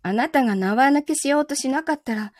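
A wronged girlfriend in an audio drama, high-voiced and possessive. She interrogates with cool, soft menace and keeps a calm, taunting control. The sound is close and dry. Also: fairly quick, no echo. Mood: sweetly menacing. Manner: calm interrogating, softly taunting, sometimes whispering. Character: possessive, coolly menacing wronged girlfriend holding her lover captive. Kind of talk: Japanese audio drama acting.

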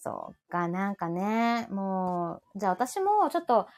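A woman's voice: medium pitch, very animated, measured pace. A talkative woman with a Kansai accent, hosting a casual, bantering audio livestream chat.

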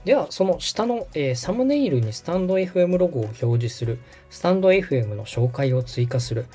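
A mellow, slightly husky man with lively intonation guides a learner as a host. He explains each step politely and methodically, with music underneath. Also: fairly high pitch, a little fast. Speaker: podcast host and radio director giving step-by-step guidance. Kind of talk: instructional walkthrough in dialogue.

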